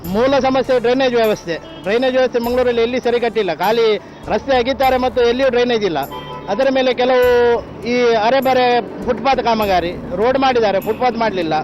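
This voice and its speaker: A man's voice: very high-pitched, brisk, darkish tone, soft background music, rough recording. A man with a Mangalore accent giving an emphatic, complaining opinion in a street interview. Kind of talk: street vox pop.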